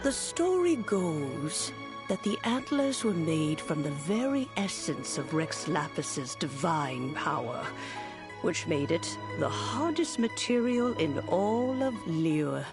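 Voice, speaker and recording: Low, unhurried wise storyteller. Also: female voice, very animated, over music, exaggerated voice. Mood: solemn. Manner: measured, solemn storytelling. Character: calm, wise woman storyteller, reverent and gently wry. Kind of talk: anime game voice acting.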